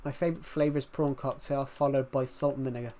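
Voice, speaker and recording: A young man from the UK talks with a thick regional British accent at an ordinary middle pitch. He chats casually with his stream viewers and rambles from one thing to the next, often breaking off to restart what he is saying. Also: steady tone, fast talker, a little mellow. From United Kingdom. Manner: rambling, many restarts, chatty and candid. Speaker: live streamer. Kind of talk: casual chat with live viewers.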